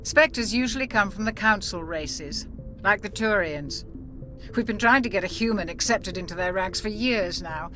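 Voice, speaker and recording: A woman acting a cautious, sensible military doctor in a video game, speaking in a measured, reproving, matter-of-fact way. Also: mid-range voice, close to an everyday voice. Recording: loud music behind the voice. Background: music.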